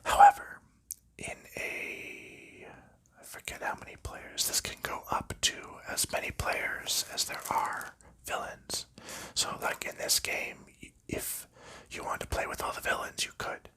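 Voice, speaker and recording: A man giving a whispered ASMR walkthrough of a board game, explaining rules and components in a soft, unhurried voice. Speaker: ASMR creator.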